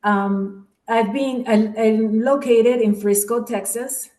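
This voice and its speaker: A woman's voice: a little high, slow pace. Woman from the Dominican Republic, echoey. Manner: relaxed, many fillers like "like" and "um". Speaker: guest speaker, program manager. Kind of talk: casual webinar chat.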